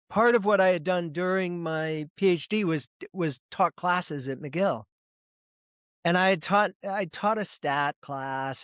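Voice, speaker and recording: Man, middle-aged, smooth clear voice, dry close sound, rambling restarts. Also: on the high side, fairly bright tone, no room sound. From United States. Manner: rambling with frequent restarts and repeated words. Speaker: presentation coach, guest. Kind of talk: casual interview talk. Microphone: video call.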